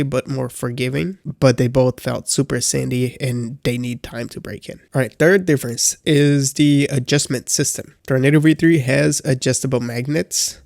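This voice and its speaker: A man's voice: mid-range voice, creaky, a little mellow, no echo, studio quality. A man with a congested voice giving a casual, hesitant review as a beginner, with frequent fillers and restarts. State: congested from a cold. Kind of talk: casual product review vlog.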